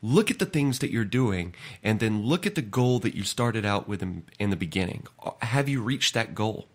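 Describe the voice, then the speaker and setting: A podcast host with a stuffy, nasal voice from a head cold, giving an earnest, conversational solo talk. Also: male voice, fairly low pitch, lively intonation, moderate pace. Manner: earnest, conversational, repeats words.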